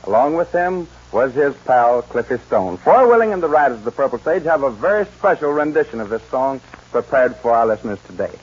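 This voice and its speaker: A man's voice: mid-range voice, sing-song, brisk, breathy edge. A man working as a 1940s radio show host, announcing acts in a folksy showman's way on a muffled vintage recording.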